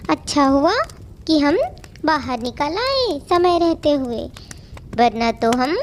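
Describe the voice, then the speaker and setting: A woman's voice: sits high, lively intonation, slow pace, slight background noise. A woman voicing a protective, caring mother bird in a children's cartoon story, earnest and motherly. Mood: worried. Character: gentle, caring mother bird, protective of her young son.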